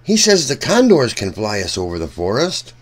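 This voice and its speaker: A man reading a storybook aloud for children in an animated, deliberate way, speaking directly to young listeners. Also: mid-range voice.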